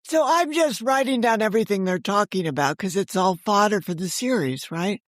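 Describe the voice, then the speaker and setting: A woman's voice: mid-range voice, animated, moderate pace, dry recording, studio quality. An older woman, around seventy, chatting candidly as an interview guest and telling casual anecdotes, acting out what people said. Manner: chatty and candid, acts out quoted remarks. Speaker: guest, author and audio drama creator.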